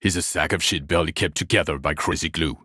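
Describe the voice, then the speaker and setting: An actor playing a world-weary, fed-up police detective in a video game, profane and sneering, with deadpan sarcasm. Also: male voice, very deep voice, fast, husky, dry recording, studio quality, exaggerated voice. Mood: angry, weary.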